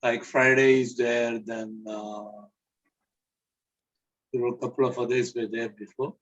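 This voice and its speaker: A man from India moderating an online question session, calmly calling on participants. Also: medium pitch, unhurried. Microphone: video call. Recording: dull lo-fi call audio.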